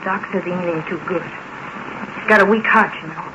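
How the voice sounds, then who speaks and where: A woman's voice: lowish voice, steady tone, normal speed, natural voice. Woman playing patient put-upon country american farm lady, plain talk, muffled hissy old radio drama. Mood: guarded, reproachful. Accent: rural American. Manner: plain-spoken, placating. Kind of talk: 1940s radio drama acting.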